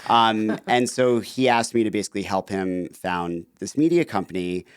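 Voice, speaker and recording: Chatty man with a low, smooth voice. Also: normal speed, no room sound. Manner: chatty, lots of "like" and "you know". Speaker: podcast guest, author. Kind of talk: casual interview chat.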